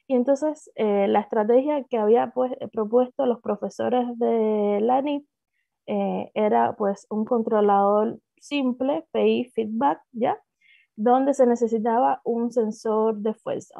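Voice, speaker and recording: A woman with a Cuban accent walks through her doctoral research on a video call, pausing often with fillers and restarting phrases. Her voice sits fairly high with a gravelly fry at the ends of phrases. The sound is dry and narrow, like a phone line. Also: no echo. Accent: Cuban Spanish. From Cuba. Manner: hesitant, many fillers and restarts. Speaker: doctoral student presenting thesis. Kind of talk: academic slide presentation.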